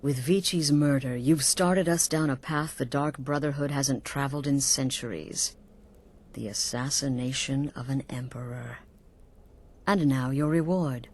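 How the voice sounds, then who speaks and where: Female assassins' guild leader, low-pitched, slow, cool, calculating, conspiratorial, fantasy video game. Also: darkish tone. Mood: dark satisfaction.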